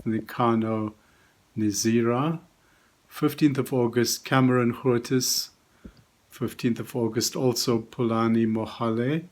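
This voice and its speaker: Man reading church notices. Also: medium pitch. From South Africa.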